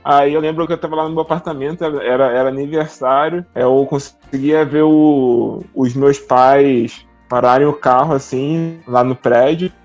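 A man's voice: medium pitch, fairly even intonation, moderate pace, hardly any pauses, darkish tone. A Brazilian-accented man talks casually and with enthusiasm in everyday slang. His mid-range voice is recorded a little dull, and music plays faintly underneath. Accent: Brazilian Portuguese. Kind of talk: casual chat, reminiscing. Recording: slightly dull audio, music behind.

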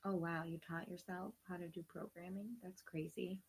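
A woman's voice: fairly low pitch, flat delivery, moderate pace, vocal fry, breathy edge, low-quality recording. A woman in her thirties hosting a casual livecast while sick and losing her voice, rambling and laughing, sometimes dropping to a whisper. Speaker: livecast host. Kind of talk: casual chat.

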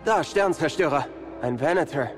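A man acts a young Jedi in a sci-fi audio drama. The voice sits a little high and sounds earnest and hopeful, with music underneath. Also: animated, moderate pace, close to an everyday voice. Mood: alert. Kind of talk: German audio drama acting.

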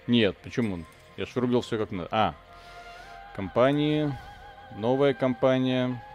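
Man casually hosting a game stream over music. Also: fairly low pitch. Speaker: video game streamer and host.

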